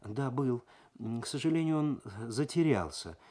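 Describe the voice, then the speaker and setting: Guy doing a young museum guide lady in a radio story, earnest, a bit halting. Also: mid-range voice, expressive, mellow, close to an everyday voice. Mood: embarrassed.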